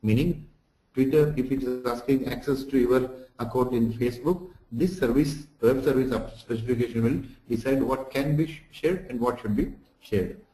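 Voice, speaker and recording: Male online-course lecturer, medium pitch, dark relaxed timbre, didactic delivery with examples and recaps. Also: normal speed. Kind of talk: slide lecture for an online lesson. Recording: echoey room sound.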